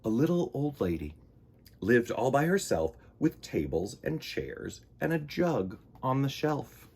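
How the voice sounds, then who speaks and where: A man hosting a children's read-aloud, talking in an excitable, theatrical way. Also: medium pitch, measured pace, gravelly, husky. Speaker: storytime host.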